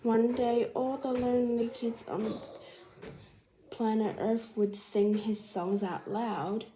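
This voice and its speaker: A woman reads a children's biography aloud at a slow pace. Her voice is mellow and mid-pitched, and her delivery is careful and slightly halting. Faint noise sits in the recording. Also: little pitch movement, poor audio. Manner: careful, halting reading with stumbles and self-corrections. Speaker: storybook reader.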